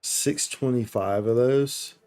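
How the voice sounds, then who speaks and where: Mellow man streaming a game. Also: mid-range voice, takes it slowly. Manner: slangy, repetitive, thinking aloud. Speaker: game livestreamer. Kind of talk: casual live gameplay commentary. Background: faint game music.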